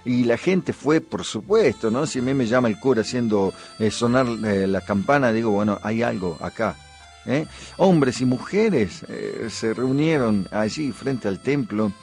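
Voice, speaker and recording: An Argentine man in the middle of his pitch range tells history in a loose, rambling way. He fills his talk with "eh" and "¿no?" tags, and soft music runs faintly underneath. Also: expressive, a little slow, gravelly. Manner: chatty and digressive, with frequent "eh" and "¿no?" asides. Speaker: program host. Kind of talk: casual history storytelling.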